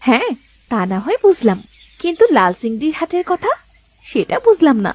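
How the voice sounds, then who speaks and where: A woman's voice: sits high, big pitch swings, normal speed, a little mellow, dry recording. A woman voicing a thrifty, doubting village wife in a cartoon folk tale, fretful and questioning. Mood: puzzled, doubting. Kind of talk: cartoon moral-tale dialogue.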